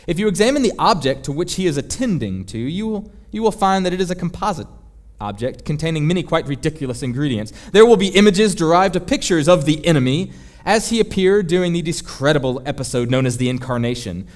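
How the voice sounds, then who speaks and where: A man voicing a senior demon who instructs his apprentice in formal, deliberate, scheming words, as a dramatic book reading. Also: a little high, expressive, close to an everyday voice. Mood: disdainful.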